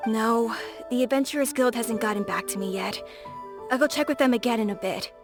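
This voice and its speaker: A woman's voice: fairly high pitch, fairly quick, over music, close to an everyday voice. A woman voicing an earnest, anxious young boy in a video game. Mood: worried, caring. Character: earnest, worried young boy devoted to his father. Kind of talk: video game character acting.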